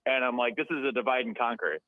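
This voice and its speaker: A man's voice: mid-range voice, steady tone, quick pace, dry recording. A man calling in to a radio talk show, talking in a chatty, rambling way and laughing often. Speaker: caller. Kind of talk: phone-in conversation. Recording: tinny dry phone line.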